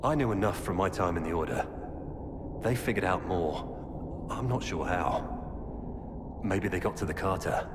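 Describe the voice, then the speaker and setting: An actor playing a grim former Templar turned Qunari informant in a video game, speaking in a grave, measured, earnest way. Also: male voice, low voice, expressive. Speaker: game character. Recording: game audio with long reverb and ambient noise.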